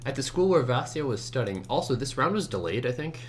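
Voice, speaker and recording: A man with a low morning voice, muttering to himself as he gives casual think-aloud commentary while coding, often trailing off mid-thought. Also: brisk. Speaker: competitive programmer narrating a screencast. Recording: steady hum and keyboard typing noise. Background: computer keyboard typing, hum.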